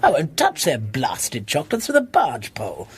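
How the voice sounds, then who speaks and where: A blustering English aristocrat from a period radio drama. His voice is rough and very husky, leaping up and down in gruff indignation, with clipped upper-class vowels. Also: mid-range voice, wildly swooping pitch, normal speed, exaggerated voice. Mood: indignant. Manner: gruff, indignant, swinging emphatically.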